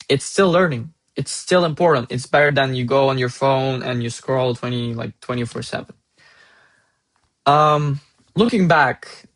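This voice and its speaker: Young russian-accented guy, talking earnestly about school, kinda halting, middle pitch. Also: a bit bright. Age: young adult. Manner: earnest, hesitant, restarts mid-phrase. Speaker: IB student. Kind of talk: casual advice monologue.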